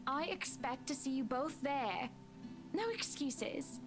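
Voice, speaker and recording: A woman's voice: high voice, expressive, slow pace, music in the background. A woman playing a wealthy, genteel married socialite who is sweetly bossy and polite, in a visual novel. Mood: gracious.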